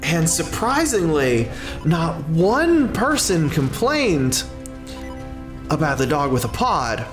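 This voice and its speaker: A man livestreaming in casual chat, rambling and halting as he talks, with drawn-out pauses and self-corrections. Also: a little high, very animated. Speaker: livestreamer and content creator. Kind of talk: casual livestream chat, answering a viewer's question. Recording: roomy echo with music underneath. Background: music.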